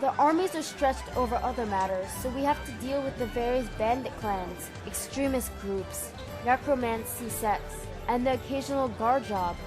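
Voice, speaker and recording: Proud young heroine general played by a woman, high voice, commanding but easily flustered, fantasy audio drama over music. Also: close to an everyday voice. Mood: matter-of-fact, proud. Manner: commanding, quick to fluster and scold. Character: proud young female general, warrior hero, hurt sister. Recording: background music under the voice.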